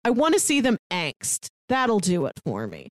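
A woman's voice: mid-range voice, wildly swooping pitch, moderate pace, no room sound, studio quality. A woman co-hosting a casual film podcast over a remote call, chatty and laughing as she talks. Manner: chatty, laughs while talking. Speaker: podcast co-host.

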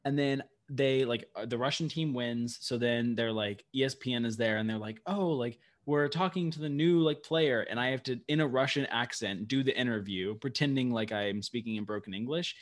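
A man's voice: mid-range voice, normal speed, hardly any pauses. A man co-hosting a podcast, telling stories in casual chat with frequent filler words. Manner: chatty storytelling, frequent "like". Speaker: podcast co-host.

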